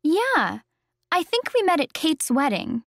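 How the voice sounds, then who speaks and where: A woman's voice: high voice, wildly swooping pitch, measured pace, no room sound, full character voice. A woman acting a friendly acquaintance in a scripted textbook dialogue.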